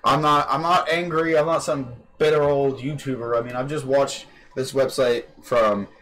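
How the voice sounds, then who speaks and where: A man's voice: medium pitch, fairly even intonation, moderate pace, fairly bright tone, poor audio. Young male host, loose rambling delivery full of fillers, voice-call mic with a light hum underneath. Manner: rambling, swears casually, many fillers. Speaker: podcast host. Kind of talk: casual chat. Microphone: computer microphone on a voice call.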